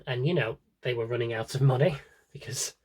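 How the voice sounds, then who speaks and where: A man's voice: medium pitch, fairly quick, a little mellow, breathy edge. A young British man chatting casually through a show-and-tell, with dry, self-deprecating humour and rambling asides. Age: young adult. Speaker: video creator.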